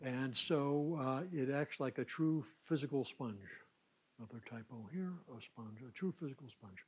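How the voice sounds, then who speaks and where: A man's voice: mid-range voice, normal speed, a little mellow, slightly rough. A man giving a technical science lecture, explaining slides in a hesitant way with informal asides and self-corrections. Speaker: lecturer at a science summer school.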